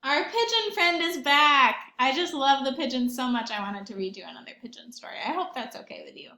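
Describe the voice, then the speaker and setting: A woman's voice: high-pitched, expressive, a little fast, bright, forceful tone, roomy sound. A woman reading a picture book aloud to young children as a teacher would, expressively, with questions and asides to her listeners.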